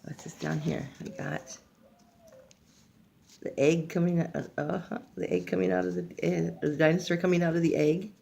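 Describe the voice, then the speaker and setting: An older woman reads a picture book aloud like a grandmother, haltingly, stumbling over words and adding casual asides. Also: low voice, vocal fry, dark tone. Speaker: grandmother reading a storybook aloud.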